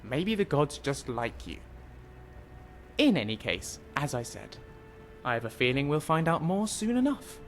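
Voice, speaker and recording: A man voicing an eager, skeptical scholar in a fantasy game, explaining things in an animated, didactic way. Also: a little high, measured pace, faint music behind. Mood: lecturing, wry. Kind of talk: fantasy video game dialogue.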